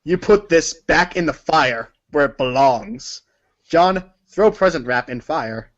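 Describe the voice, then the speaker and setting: Casting: male storybook narrator, higher-pitched voice, second-person narration, slightly muffled lo-fi sound. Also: slow. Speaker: narrator of a group reading. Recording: dull lo-fi audio.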